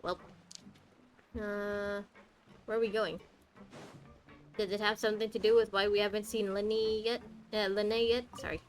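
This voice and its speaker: A woman's voice: mid-range voice, little pitch movement, normal speed, long pauses. A woman game streamer giving casual live commentary, chatty and musing aloud in questions. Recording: faint game music behind.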